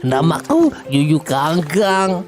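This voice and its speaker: A sly giant crab from a children's folktale cartoon, speaking in a very slow, lecherous drawl. The pitch swoops up and down in a teasing, trickster way, with music playing clearly behind. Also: sing-song, a little mellow. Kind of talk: children's animated folktale dialogue.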